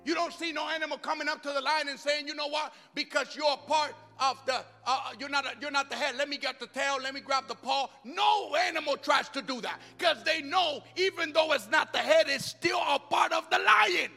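A man delivers a sermon in a very high, bright voice. He drops into rapid, quieter asides, then climbs back into shouting. He is heard in a long-reverb hall with soft music underneath. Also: vocal fry. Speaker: preacher.

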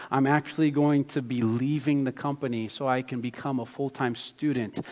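A man born in Brazil and raised in the United States, preaching a sermon as he tells his own story and builds to emphatic, loud passages. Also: medium pitch. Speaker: pastor. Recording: long hall reverb.

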